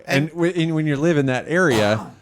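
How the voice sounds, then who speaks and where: A man's voice: fairly high pitch, expressive, normal speed. A man co-hosting an interview, making enthusiastic, rambling remarks. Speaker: co-host. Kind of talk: casual interview chat.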